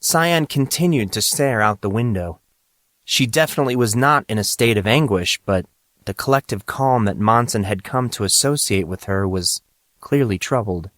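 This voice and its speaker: A man's voice: lowish voice, lively intonation, normal speed, a bit bright, no echo, studio quality. A man reading scripted narration for an audio drama of a young adult story.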